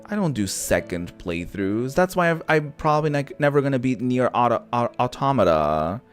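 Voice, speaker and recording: A man doing casual let's-play commentary, thinking out loud with some mild swearing over background music. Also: mid-range voice, big pitch swings, moderate pace. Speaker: gaming host. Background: background game music.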